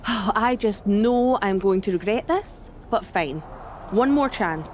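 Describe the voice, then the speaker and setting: A woman's voice: mid-range voice, expressive, unhurried, slight background noise, natural voice. A woman voicing a scornful, exasperated coven leader in a wrestling video game, with a sardonic, scolding manner. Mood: grudging. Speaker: voice actor in a video game cutscene.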